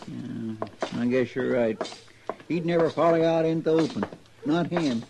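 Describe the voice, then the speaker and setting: An actor playing a folksy, earnest, loyal deputy with a rural Western American accent in an old radio drama. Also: male voice, a little high, normal speed, vocal fry. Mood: worried, earnest. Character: folksy, excitable, loyal deputy sidekick of the marshal. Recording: noisy, crackly vintage radio recording.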